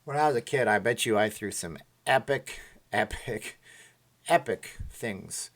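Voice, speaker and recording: A man's voice: on the low side, measured pace, fairly bright tone. A tired man hosting a casual livestream, reading out viewer comments and rambling emotionally. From Minnesota. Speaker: livestream host.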